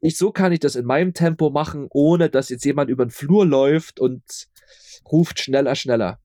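A man's voice: fairly high pitch, normal speed, dark tone, no echo, clean studio sound. A man chatting casually as an interview guest, stammering over repeated words and tossing in ironic asides. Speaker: interview guest, audio drama producer.

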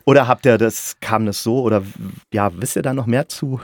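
A man's voice: medium pitch, a little fast, no room sound, studio quality. A man hosting a casual interview, speaking in an enthusiastic and reassuring way. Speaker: interviewer, podcast host.

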